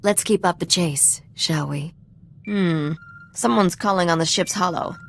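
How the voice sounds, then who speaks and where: A woman's voice: on the low side, measured pace, vocal fry, slight background noise. A woman playing a sly, self-assured treasure hunter and ship mechanic in a video game, dry and teasing. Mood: wry, confident. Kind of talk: video game cutscene acting.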